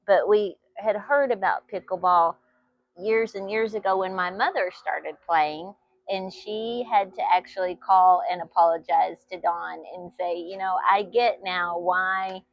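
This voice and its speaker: A woman's voice: mid-range voice, vocal fry, no room sound. A chatty woman in her fifties with a Texan accent, talking easily and laughing often. Her voice is dry and close, with a slightly dull, lo-fi sound. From Texas. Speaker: channel co-host. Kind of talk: casual chat.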